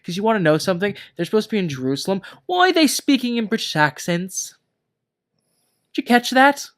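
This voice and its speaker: A man with a high-pitched voice co-hosts a movie review show. He talks casually but emphatically, peppering his opinions with swearing and blunt complaints. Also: animated, brisk, a bit bright. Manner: emphatic, profane ranting. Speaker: podcast co-host reviewing movies.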